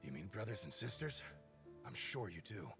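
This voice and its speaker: An actor playing a weary, loyal mortal sorcerer in a video game, emotional and sighing, with a gravelly voice. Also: male voice, fairly low pitch, big pitch swings, moderate pace, loud music behind the voice. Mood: troubled. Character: weary, loyal mortal sorcerer trapped underground with his comrade.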